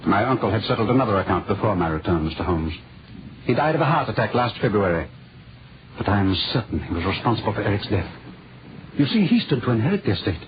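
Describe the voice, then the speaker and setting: A man's voice: fairly low pitch, sing-song, quick pace, dull audio. Formal british nobleman, worked up and earnest, swooping delivery, hissy old time radio. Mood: suspicious. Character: earnest, agitated young English lord seeking justice. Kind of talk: 1940s radio acting.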